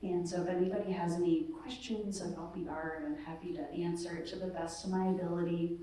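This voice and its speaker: A woman's voice: on the low side, level tone, a little fast, warm tone. A woman organizing a gallery show, speaking on a panel in a friendly, informative way. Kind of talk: casual panel discussion. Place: large echoey gallery, live event. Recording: echoey gallery from far off.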